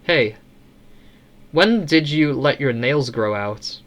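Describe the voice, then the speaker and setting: A man's voice: medium pitch, animated, slow, a bit bright. Storybook mother, male reader: caring and approving, chatty everyday delivery. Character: attentive, approving mother of a teenage girl.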